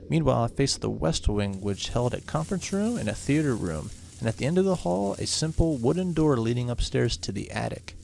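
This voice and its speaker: Man voicing a nervous, gravelly horror-game protagonist. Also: medium pitch, darkish tone, slight background noise. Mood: wary narrating. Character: nervous, superstitious young real estate agent exploring haunted mansion. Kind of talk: horror visual novel read aloud.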